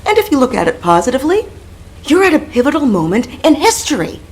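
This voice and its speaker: A woman's voice: high-pitched, sing-song, fast. A woman voicing a spirited, blunt and protective young comrade in a video game, playful and frank. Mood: teasing, concerned.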